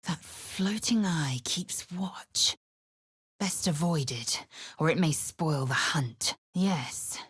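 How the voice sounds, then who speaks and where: A proud, fierce warrior woman from another world, set in a fantasy game. Her voice sits low and husky, and the pace is slow and deliberate. The recording is dry and close, with no room behind her. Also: no echo, heavily acted. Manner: clipped, commanding, often low and hushed. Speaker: game character. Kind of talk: studio game voice acting.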